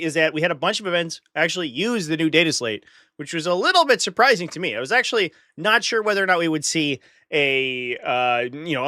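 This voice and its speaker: A man's voice: on the high side, sharp tone, no room sound. A man with a stuffy nose from a flu, hosting a live stream in casual chat, talking straight to his viewers with dry sarcastic asides.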